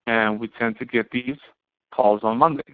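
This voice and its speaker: A man giving an explanatory lecture to students as an instructor, speaking haltingly and often posing questions that he then answers himself. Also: mid-range voice, steady tone, clear, resonant tone, dry recording. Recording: muffled, band-limited, phone-like audio.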